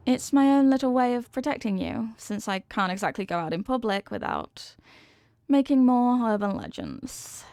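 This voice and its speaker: An affectionate headless horsewoman girlfriend, played by a woman, with a fairly high voice in a dry, close space. She is centuries old yet sheepish and klutzy, explaining herself in gentle rambles, in the manner of an intimate ASMR roleplay. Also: vocal fry, dry recording.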